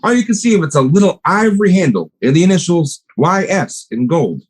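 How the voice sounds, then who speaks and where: A man's voice: high voice, sing-song, brisk, slightly rough, exaggerated voice. A man playing a plainspoken, matter-of-fact milkman and part-time partner in a radio play. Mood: deadpan.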